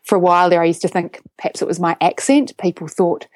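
Woman, New Zealand accent, forties, lowish voice, dry close sound, eager chatty guest with restarts. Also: fast talker, darkish tone, dry recording. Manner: enthusiastic, with frequent restarts and ums. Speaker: guest, neuroscientist and science communicator. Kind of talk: conversational interview.

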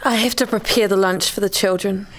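A woman's voice: medium pitch, fairly even intonation, fairly quick, slight background noise, lightly acted, poor audio. A woman playing a weary, dismissive wife and mother in a radio play, speaking curtly and with reserve. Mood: insistent. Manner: curt, reserved.

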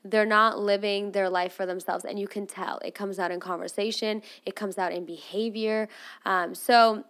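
A young adult woman from Armenia, raised in Russia, hosting a podcast with frank, animated, casually profane commentary. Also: medium pitch, normal speed. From Armenian, grew up in Russia. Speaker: podcast host.

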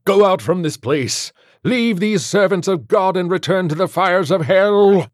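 A man's voice: medium pitch, expressive, unhurried, no echo, studio quality, pitched above the performer's own voice. A man voicing a steadfast, commanding priest exorcist in an audiobook, solemn and declamatory. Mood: commanding exorcism. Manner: solemn, declamatory prayer and rebuke.

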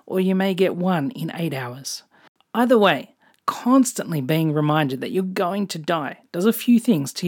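Australian solo podcaster, calm scripted musings with a dry wit. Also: medium pitch. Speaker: podcast host. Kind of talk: scripted personal podcast monologue.

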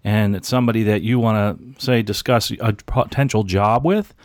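A man's voice: fairly low pitch, normal speed, no echo, clean studio sound. A man hosting an aviation podcast, chatting casually and giving encouraging advice with frequent restarts. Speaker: podcast host.

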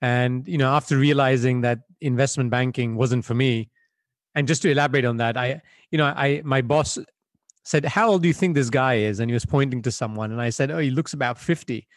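A man's voice: mid-range voice, fairly quick, no room sound, clean studio sound. A man from Belgium, a guest on an interview, answering in a reflective, conversational way with frequent fillers over a video call.

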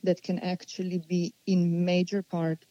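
A woman, answering interview questions unhurriedly and pausing as she thinks. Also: on the low side, monotone, warm tone, no room sound, muffled recording. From Slovenia. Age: 40s. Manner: unhurried, thoughtful, with many pauses and restarts. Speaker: guest.

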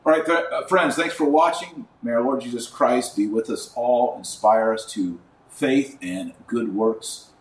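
A man's voice: medium pitch, unhurried, hardly any pauses. A man hosting a religious podcast, giving earnest, emphatic preachy commentary with repeated phrases for rhetorical effect. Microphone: wrong microphone picking up from a distance. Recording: echoey room, distant wrong microphone, faint noise.

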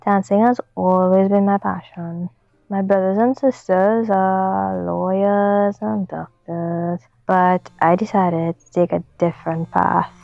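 An actress playing a woman with a Trinidadian accent on a dinner date, speaking in an even, matter-of-fact way. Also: medium pitch, slow, vocal fry, dry recording, muffled recording. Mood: chatty. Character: adult woman on a dinner date, a simulated companion.

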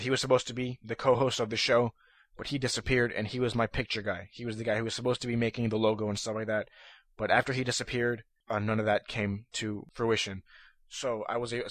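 A young man with a fairly low, slightly hoarse voice rambles through a solo podcast in an even, monotonous way. He stumbles, restarts, and leans on fillers. The sound is dry and close, with no room ring. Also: dry recording. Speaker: podcast host. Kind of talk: casual solo podcast talk. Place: room at his computer.

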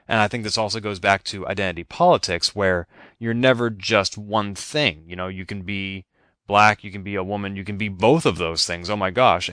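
A man from Boston hosting a podcast interview, asking measured, thoughtful questions. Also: on the low side, pushed and bright, clean tone, no echo, clean studio sound. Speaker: podcast host. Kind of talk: interview conversation.

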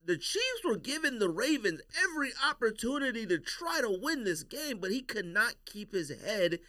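American guy talking sports on a podcast, high-ish voice, really lively, emphatic takes, close dry sound. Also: normal speed, dry recording. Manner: opinionated, emphatic, repeats points for emphasis. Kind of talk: casual sports debate.

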